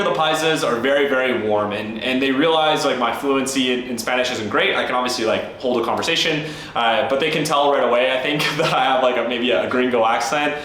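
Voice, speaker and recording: A man's voice: mid-range voice, fairly bright tone. A young Canadian man talking casually and frankly to camera as a travel vlogger, with lots of fillers. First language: English. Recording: very echoey room with faint ambient noise.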